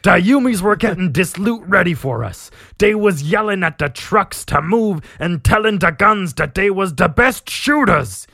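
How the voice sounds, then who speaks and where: A man voicing a brutish, excitable orc warband captain in an acted fantasy story, bellowing in crude broken grammar. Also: mid-range voice, slow pace, gravelly, husky, dry recording, heavily acted. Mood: excited, bellowing.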